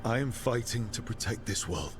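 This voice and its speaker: An actor playing an earnest, determined heroic leader in a fantasy video game, sincere and resolute. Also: male voice, mid-range voice, lively intonation, normal speed, over music, natural voice.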